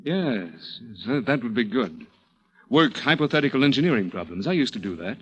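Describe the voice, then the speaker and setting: An American man acting as a cunning, controlling young murderer in a radio thriller, with an insinuating, halting, deliberate delivery. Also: mid-range voice, very animated, a bit bright, breathy edge, highs cut off. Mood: probing, ingratiating. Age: young adult. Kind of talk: radio thriller acting with first-person narration.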